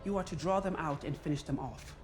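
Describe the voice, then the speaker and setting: A woman's voice: low-pitched, brisk, lightly acted. An actress playing a curt, commanding female commodore in a space video game, clipped and authoritative. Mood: cold command.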